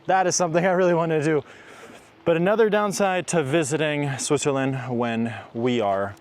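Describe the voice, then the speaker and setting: A man's voice: fairly high pitch, moderate pace. A man chatting casually and informally as a travel vlogger out on a hike. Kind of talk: casual chat while filming a hike. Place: outdoors on a mountain hike. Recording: clear outdoor ambient noise. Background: outdoor ambient noise.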